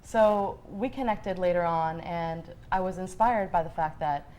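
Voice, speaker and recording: Female interview guest from Sudan, lowish voice, conversational, some background noise. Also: unhurried, warm tone. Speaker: fellowship guest, marketing professional.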